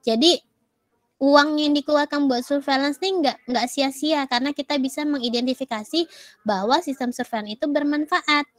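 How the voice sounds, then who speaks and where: A woman's voice: sits high, normal speed. A woman giving a casual lecture with many fillers and English terms, recovering from a cough. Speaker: university lecturer.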